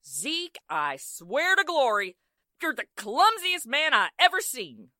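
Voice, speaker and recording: A woman's voice: high-pitched, big pitch swings, slow pace, sharp tone, dry recording, studio quality, full character voice. A woman playing a fussy, scolding village woman with folksy, exasperated delivery in 1940s radio drama style.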